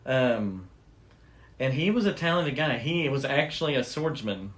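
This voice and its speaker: A man's voice: mid-range voice, expressive, unhurried. A man co-hosting a show, explaining things in a casual, enthusiastic and informal storytelling way. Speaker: podcast co-host.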